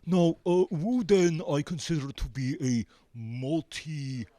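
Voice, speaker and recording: Higher-pitched, mellow-voiced male interview guest. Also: lively intonation, measured pace. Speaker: band member, interview guest. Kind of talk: casual interview talk.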